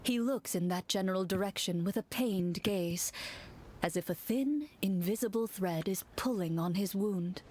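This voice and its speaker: A woman narrating a video game in a measured, steady storytelling manner, heard as game audio under a stream. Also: mid-range voice, a little hiss or hum, lightly acted. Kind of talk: scripted narration.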